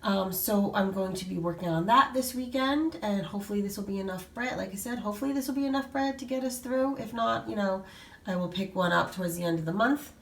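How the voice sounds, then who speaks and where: A middle-aged woman with a hoarse voice from a cold, chatting casually to viewers with lots of fillers and restarts. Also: medium pitch, fast, slight background noise. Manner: rambling, many fillers and restarts. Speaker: vlogger showing a grocery haul. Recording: echoey room, voice a bit far from mic.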